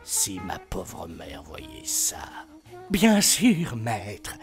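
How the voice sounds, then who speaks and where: A man voicing a bitter, contemptuous old fantasy house elf who mutters in a croak. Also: mid-range voice, huge pitch swings, extremely slow, faint music behind, pitched below the performer's own voice, full character voice. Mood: spiteful.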